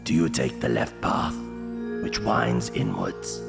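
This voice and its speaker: A very gravelly, deep-voiced narrator of a dark fantasy gamebook, played by a man. He speaks with theatrical menace and deliberate weight, over clear background music. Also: very animated, heavily acted. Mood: ominous. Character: ominous gamebook narrator addressing the reader as adventurer.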